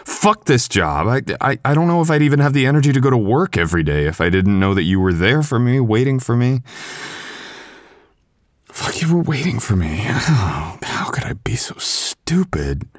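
A man playing a sweet, apologetic, devoted young husband in an intimate boyfriend ASMR roleplay, with a halting, tender, self-deprecating delivery. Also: mid-range voice, very animated, no echo, clean studio sound, natural voice. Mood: upset, self-blaming.

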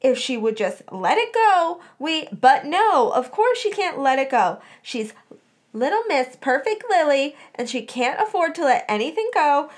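A woman's voice: on the high side, huge pitch swings, a little slow, a bit bright, exaggerated voice. A woman voicing a defensive, hot-tempered teenage schoolgirl in a story, with an emphatic, dramatic delivery. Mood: ranting, exasperated.

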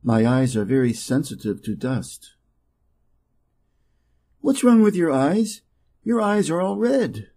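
Husky American man reading English drills. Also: very animated, slow pace, long pauses, warm tone. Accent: American Midwest. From Wisconsin, USA. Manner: reads both dialogue parts, raising his pitch for speaker A. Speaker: English teacher. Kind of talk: slow reading of practice dialogues.

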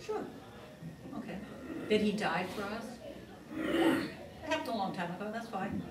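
A woman's voice: mid-range voice, lively intonation, moderate pace, heavy background noise. An older woman, a nun, telling a long story to a class. She keeps stopping to say "he says" as she recounts the dialogue. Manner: rambling, repeats "he says" while retelling dialogue. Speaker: religious teacher, nun. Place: plain meeting room with tables. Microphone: far camera across the room. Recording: echoey room, far-off mic, listeners audible.

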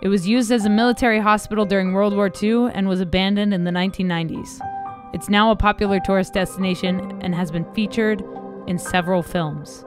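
A woman hosting a channel, reading a scripted documentary narration in a measured, even countdown manner. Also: mid-range voice. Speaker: channel host. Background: music bed.

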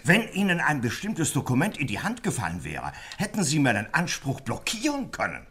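A raspy country gentleman in a radio play. His speech swings widely up and down, emphatic and with some hemming. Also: a little high, wildly swooping pitch, a little fast, full character voice. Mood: emphatic, self-satisfied. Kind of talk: German radio play dialogue.

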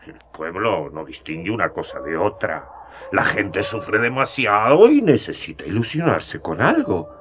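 An old sneaky judge, lots of little laughs, slow smooth talk, up and down pitch. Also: male voice, big pitch swings, a bit bright, a little hiss or hum. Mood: sly. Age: older. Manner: chuckling, smooth, persuasive. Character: sly gray-bearded male magistrate, scheming. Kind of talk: radio drama acting.

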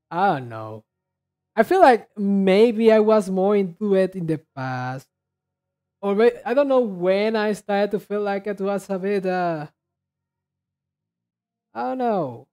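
A reaction video host giving casual commentary, halting and repeating words while thinking aloud. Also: mid-range voice, expressive, mellow.

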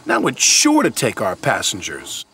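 A man's voice: fairly low pitch, huge pitch swings, normal speed, sharp tone, full character voice. A man voicing a vain, jealous, anxious steam engine in a children's storybook. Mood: dismayed. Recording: music behind the voice.

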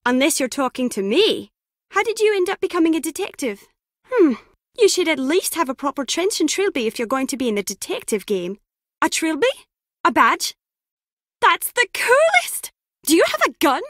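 A cheeky, nosy young woman in a video game. Her voice is high and swoops around as she teases and gushes. The sound is dry and close. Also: very animated, moderate pace, a bit bright, dry recording, full character voice. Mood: excited, gushing. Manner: playful, teasing, gushing. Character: chatty, cheeky, excitable young woman, nosy would-be friend.

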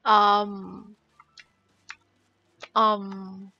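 A woman's voice: mid-range voice, flat delivery, very slow, vocal fry, dull audio. A woman game streamer reacting casually in scattered short exclamations. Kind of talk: casual live gameplay reactions.

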